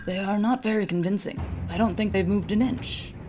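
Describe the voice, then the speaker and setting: A woman's voice: mid-range voice, a little fast, music in the background, close to an everyday voice. An actress playing a steadfast orc warrior woman in a fantasy audio drama, formal and plain-spoken. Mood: wary, hushed. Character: steadfast female orc warrior with mace and shield.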